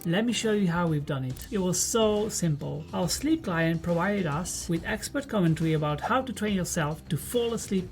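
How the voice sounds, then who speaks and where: A woman with a fairly low voice delivers an enthusiastic marketing pitch. Soft music plays faintly behind her. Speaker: agency marketer in an ad clip. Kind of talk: promotional pitch.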